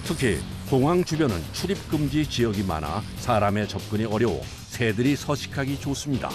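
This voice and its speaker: A man narrating a documentary in a calm, scripted and informative way. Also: medium pitch, brisk, over music. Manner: calm, even informative delivery.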